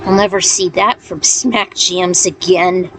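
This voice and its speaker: A woman's voice: on the low side, fairly even intonation, unhurried. A woman reading her own fan fiction and author's notes as a storyteller. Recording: clearly noisy background.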